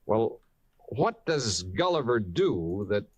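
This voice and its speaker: A man's voice: fairly low pitch, very animated, breathy edge, no echo, muffled recording, exaggerated voice. An actor playing a dry, sceptical male investigator who narrates his case in old radio drama style. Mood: sceptical, hesitant. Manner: dry, measured, politely doubtful. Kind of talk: 1950s radio detective drama acting.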